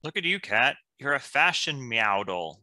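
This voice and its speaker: An actor playing a playful, joking nineteen-year-old goliath ranger in an animated fantasy table read. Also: male voice, mid-range voice, moderate pace, dry recording.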